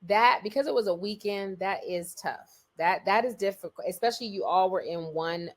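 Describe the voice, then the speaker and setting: A woman's voice: medium pitch, moderate pace. A woman co-hosting a podcast in casual chat, talking emphatically and repeating phrases to drive a point home. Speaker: podcast co-host.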